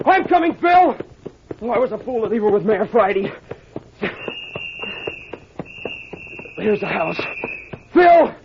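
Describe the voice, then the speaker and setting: An actor playing an earnest, excitable young American man with a husky voice in an old-time radio drama. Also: high voice, moderate pace, noisy background, heavily acted. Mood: panicked. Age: young adult. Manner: earnest, intense. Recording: muffled old radio recording.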